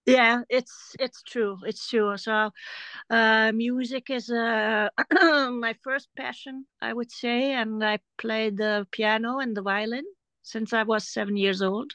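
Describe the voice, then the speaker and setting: A woman's voice: fairly high pitch, steady tone, slow, fairly bright tone, clear, resonant tone, no echo. A woman in her forties from Germany, a German speaker talking in English as a podcast guest, hesitant with many ums and restarts. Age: 40s. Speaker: interview guest. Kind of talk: casual conversation.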